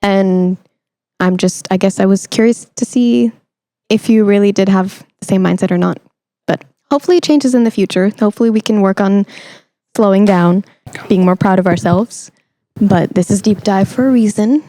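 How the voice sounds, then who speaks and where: A woman's voice: medium pitch, moderate pace, dark tone, no echo. A young woman hosting a podcast interview in a conversational, reflective way, thinking aloud as she phrases her questions. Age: young adult. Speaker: podcast host.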